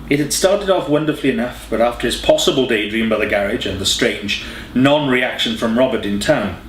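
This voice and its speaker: A man's voice: medium pitch, slight background noise. A man reading a scripted story as an audiobook narrator. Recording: roomy, echoey home recording.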